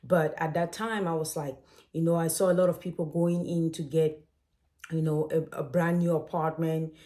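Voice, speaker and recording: Woman from Cameroon, late twenties, vlog host; direct and chatty, mellow lowish voice. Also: flat delivery, normal speed, reverberant. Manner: chatty, direct, addresses viewers as "guys". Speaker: financial coach and host. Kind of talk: casual to-camera advice vlog.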